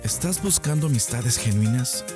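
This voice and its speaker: A man's voice: medium pitch, expressive, moderate pace. A man narrating a scripted advert for a church community. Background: music.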